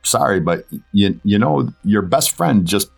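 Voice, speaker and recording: A man being interviewed as a guest actor, chatting casually and haltingly, often pausing and circling back mid-thought. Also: fairly low pitch, expressive, creaky, breathy edge, faint music behind.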